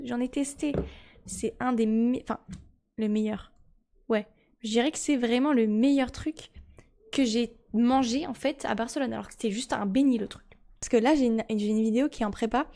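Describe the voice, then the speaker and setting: This is a chatty young woman, talking to her stream viewers while she plays. Her voice sits a little high. She rambles and corrects herself as she goes. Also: a little mellow. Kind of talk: casual chat while gaming.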